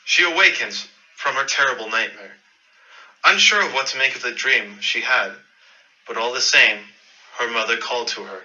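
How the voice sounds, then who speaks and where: A man's voice: medium pitch, moderate pace, pushed and bright, dull audio. A man narrating a scripted fairy-tale radio play in a dramatic storytelling manner.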